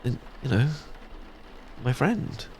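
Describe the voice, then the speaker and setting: A man's voice: medium pitch, big pitch swings, takes it slowly, darkish tone, a little hiss or hum. A British man playing a shy, sweet best friend secretly in love, stammering and hesitant in a romantic ASMR audio roleplay. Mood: tender, bashful.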